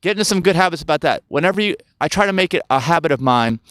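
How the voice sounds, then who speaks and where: A man of fifty talks casually outdoors, rambling from point to point and checking in with his viewers. His voice sits a touch high and comes through smooth and clear. The sound is dry and close, with no room around it. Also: expressive, very fast, a bit bright, no echo. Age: 50s. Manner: chatty, rambling, asks viewers questions. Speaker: health channel host. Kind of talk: casual talk to camera. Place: outdoors in the woods.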